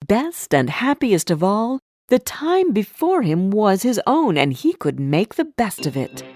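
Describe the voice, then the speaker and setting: A woman reading a scripted storybook tale as narrator, with an expressive, deliberate delivery. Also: mid-range voice, no echo, clean studio sound.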